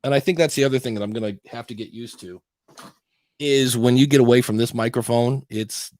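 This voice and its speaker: A man's voice: medium pitch, a little fast, a little mellow. A man hosting a podcast, chatting casually about audio gear and often restarting sentences or quoting himself. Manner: rambling, restarts and self-quotes. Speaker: podcast host. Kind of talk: casual tech chat. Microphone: studio dynamic mic.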